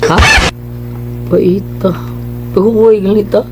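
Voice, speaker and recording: Slow, drawling cowardly Cebuano cop. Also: male voice, high-pitched, steady tone, dark tone, soft background music. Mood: lazy excuses. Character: boastful but cowardly, lazy Filipino policeman husband. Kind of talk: comic Cebuano radio drama acting.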